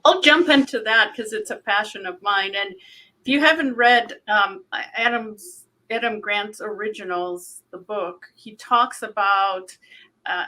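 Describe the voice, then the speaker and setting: Exec woman on a panel, bright forceful voice, passionate and direct. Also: mid-range voice, rough recording. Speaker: panelist, business executive. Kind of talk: panel discussion.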